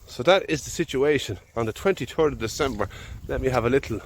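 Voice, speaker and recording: A man's voice: medium pitch, quick pace, a little hiss or hum. An Irish man farming, talking easygoing and rambling out in a field while fighting off a head cold. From Ireland. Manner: easygoing, rambling, thinking aloud. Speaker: farmer. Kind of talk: casual vlog narration. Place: outdoors in a field.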